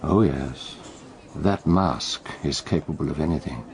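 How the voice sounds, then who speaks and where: An actor playing a shrewd, wry armchair detective in a radio drama, explaining crimes in a measured, knowing, dry manner. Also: male voice, extremely low pitch, creaky, a little mellow, heavily acted. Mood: wry, grim.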